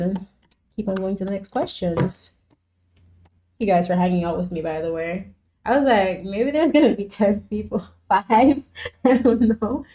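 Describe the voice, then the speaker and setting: A young woman who grew up in Jamaica chats loosely through a live stream, reading out viewers' messages and answering them one by one. Her voice sits in a middle register with a warm, mellow tone. She talks freely, with plenty of "um"s and "like"s along the way. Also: medium pitch. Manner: chatty, answers viewers by name, frequent fillers. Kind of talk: casual live-stream chat.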